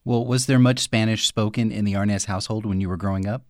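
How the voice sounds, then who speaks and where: A man's voice: fairly low pitch, steady tone, fast talker, dry recording, clean studio sound. A man hosting a radio interview, asking curious, conversational questions.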